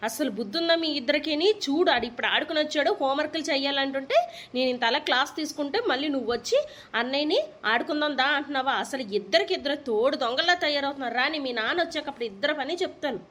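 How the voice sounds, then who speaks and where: A woman's voice: sits high, rapid-fire, fairly bright tone. A woman voicing an exasperated, nagging young mother in a comedy cartoon, with a Telugu accent and sarcastic, threatening scolding.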